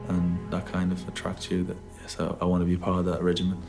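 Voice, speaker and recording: A male recruit from Afghanistan, speaking earnestly and haltingly in an interview. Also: deep voice, monotone. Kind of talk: documentary interview. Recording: music playing underneath.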